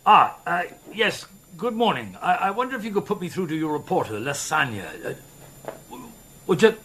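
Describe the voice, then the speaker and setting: A man's voice: sits high, very animated, normal speed, a bit bright, a little hiss or hum, exaggerated voice. A man playing a patient middle-aged police inspector in a radio drama, speaking in a hesitant, wry murmur. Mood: polite, furtive. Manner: hesitant, murmuring, stammers mildly. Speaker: actor in the lead role. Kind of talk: naturalistic radio drama acting.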